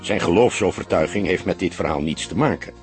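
A man the reading a crime story as an audiobook, in a measured, expressive storytelling manner. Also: low-pitched, faint music behind. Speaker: audiobook narrator. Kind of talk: scripted literary narration of a crime story.

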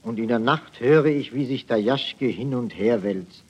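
A man's voice: mid-range voice, a little slow, husky, highs cut off. An actor playing a hapless, naive army private telling his woes in a folksy way on an archival 1940s satirical radio broadcast. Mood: weary, earnest. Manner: folksy, mock-naive storytelling.

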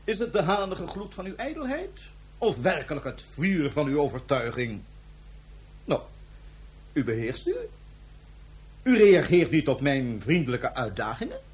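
A man's voice: fairly high pitch, big pitch swings, unhurried, long pauses, a little mellow, breathy edge, exaggerated voice. An actor playing a suave, mocking imperial ruler in a Dutch science fiction radio drama, theatrical and condescending in his ornate phrasing. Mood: taunting.

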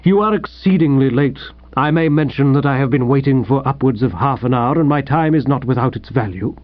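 Man playing a stiff, pompous, peevish gentleman writer. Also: mid-range voice, brisk, dry recording, highs cut off, close to an everyday voice. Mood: frosty. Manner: pompous, clipped, icily formal. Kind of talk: audiobook character voice.

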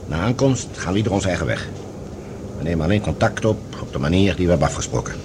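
An actor playing a cautious, formal general and colonial governor in a science fiction radio drama, speaking with measured authority. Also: male voice, deep voice, animated, noisy background. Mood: cautious, instructing. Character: commanding, cautious male general and newly appointed colonial governor.

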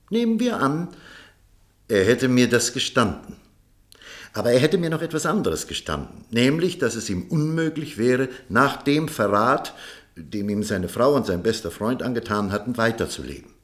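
A man playing a principled, unyielding doctor in a radio play, speaking in a formal, guarded and measured way. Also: medium pitch, fairly bright tone. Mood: argumentative. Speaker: actor in a radio drama. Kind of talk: German radio play dialogue.

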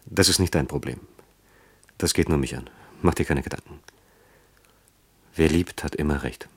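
A man's voice: normal speed, husky, no echo, exaggerated voice. An actor playing a guarded, torn but soothing male lover in a radio play, speaking tersely and reassuringly. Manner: terse, low-key, reassuring. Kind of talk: German radio play acting.

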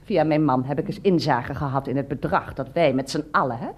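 An actress playing a chatty, indignant housecleaner with an engineering degree, emphatic and mock-earnest, in a satirical radio play. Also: female voice, sits low, fairly quick, breathy edge.

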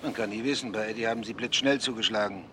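An actor playing a wary, sardonic journalist in a German radio play, with a guarded, dry delivery. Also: male voice, lowish voice, quick pace, background noise, close to an everyday voice. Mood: wary, defensive.

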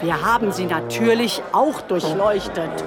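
An actress playing a bossy, exasperated party leader who scolds brusquely in a satirical radio play, over loud music and bustle. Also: female voice, mid-range voice, unhurried, vocal fry. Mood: exasperated, scolding.

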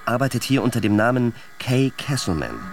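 A man's voice: medium pitch, big pitch swings, brisk, music in the background. A man playing a brusque, stressed security agent in a radio play, with terse, clipped speech. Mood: formal, urgent. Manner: terse, clipped phone talk. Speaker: actor in a radio drama. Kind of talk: German radio play acting. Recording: clearly noisy background.

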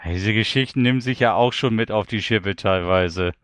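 A man's voice: lowish voice, normal speed, a bit bright, clear, resonant tone, no room sound, studio quality. A man working as an interviewer, asking questions and adding remarks in an informal, conversational way.

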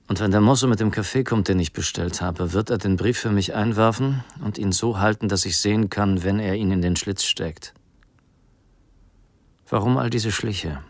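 A low gravelly doomed guy on the run, subdued and melancholy, farewell letter in a crime radio play, dry sound. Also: moderate pace, no room sound. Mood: resigned, melancholy. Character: resigned, frightened man on the run, voicing farewell letter. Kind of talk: radio play monologue, letter read in character.

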